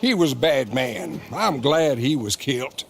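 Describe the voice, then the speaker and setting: A man's voice: mid-range voice, sing-song, unhurried, slight background noise. An actor playing a smarmy, pompous businessman villain in a video game cutscene, with oily, condescending politeness. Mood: smooth, dismissive.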